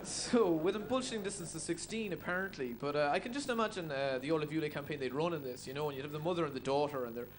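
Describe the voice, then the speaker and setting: High-voiced, hesitant Cork comedian, man. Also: quick pace, hardly any pauses. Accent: Cork Irish. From Cork, Ireland. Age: 30s. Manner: hesitant, self-deprecating. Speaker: stand-up comedian. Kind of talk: stand-up storytelling. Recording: noisy live stage recording.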